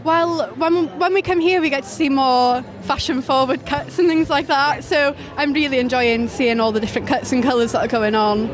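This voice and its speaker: Girl hairdresser, high voice, cheerful. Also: fairly even intonation, normal speed, few pauses. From North Wales. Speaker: salon hairdresser. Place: busy trade show hall.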